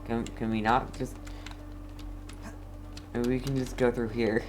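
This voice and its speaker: Guy streaming a game, talks slow and dry, medium pitch, bit of noise and key clicks. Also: little pitch movement. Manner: dry, understated joking. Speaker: gamer, streamer. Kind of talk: casual gameplay commentary.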